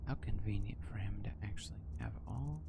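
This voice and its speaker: A man giving soft-spoken ASMR gameplay commentary as a video host, hushed and often close to whispering. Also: lowish voice, fairly quick, gravelly, very noisy. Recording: loud game ambience behind voice. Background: video game ambience.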